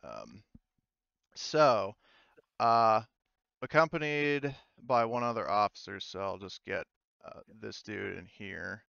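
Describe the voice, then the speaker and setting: A man narrating a tabletop roleplaying game as game master in a casual, halting, self-correcting way. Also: lowish voice, animated, gravelly, pushed and bright, clear, resonant tone, dry recording, highs cut off.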